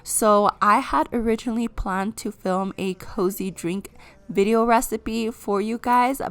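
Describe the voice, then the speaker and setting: Hoarse woman with a cold, gravelly. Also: mid-range voice. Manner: friendly, explains each step to viewers. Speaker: vlogger. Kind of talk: casual cooking vlog voice-over.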